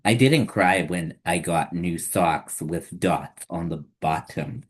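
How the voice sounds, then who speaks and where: A man reads a picture book aloud as a storytime host for children. His voice sits low, and he takes the narration slowly, phrase by deliberate phrase. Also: slightly rough.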